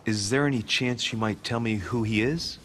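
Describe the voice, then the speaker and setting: An actor playing a persistent, earnest young investigator from a secret society in a video game, coaxing and insistent. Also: male voice, mid-range voice, normal speed, a bit bright, slight background noise, close to an everyday voice. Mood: coaxing, probing. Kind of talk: dramatic video game dialogue. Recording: music under the voice.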